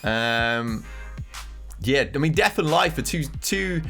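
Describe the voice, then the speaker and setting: A man's voice: fairly high pitch, animated, slow, a bit bright, soft background music. Nasal-sounding man with a cold. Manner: rambling, thinking aloud while reacting. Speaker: gaming content creator. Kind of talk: casual commentary on game news.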